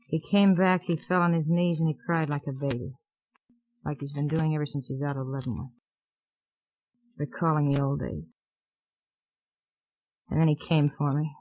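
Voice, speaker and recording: An actress playing a bitter, world-weary city widow with a sardonic drawl, in hard-boiled old radio style. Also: female voice, deep voice, steady tone, moderate pace, long pauses. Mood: weary, defiant. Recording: muffled vintage radio broadcast.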